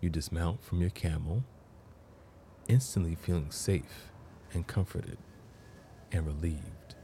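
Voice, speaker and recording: A man narrating a guided meditation as host, in measured phrases with long pauses between them. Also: sits low, warm tone, slight background noise.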